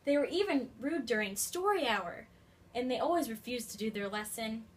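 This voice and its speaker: High-voiced woman reading to children. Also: moderate pace. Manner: expressive, addressing young children. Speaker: teacher reading aloud to children. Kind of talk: storybook read-aloud with questions. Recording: faint background noise.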